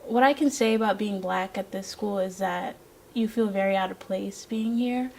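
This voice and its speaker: A woman's voice: medium pitch, moderate pace, vocal fry, darkish tone, a little hiss or hum, poor audio. A young woman giving candid interview answers as a student. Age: young adult.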